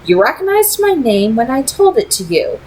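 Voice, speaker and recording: A woman's voice: high voice, sing-song, darkish tone, slight background noise, pitched above the performer's own voice, low-quality recording. A woman voicing a proud, secretive female vampire who leads a clan and speaks formally and persuasively in a read-aloud story. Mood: coolly persuasive. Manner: formal, deliberate, dramatic phrasing.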